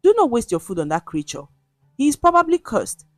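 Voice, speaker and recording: Hard-hearted elderly villager woman, acted by a female narrator, hissing and suspicious, storybook, animated, dry sound. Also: on the high side, fairly quick, no echo, faint music behind, voice pushed up. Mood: hissing warning.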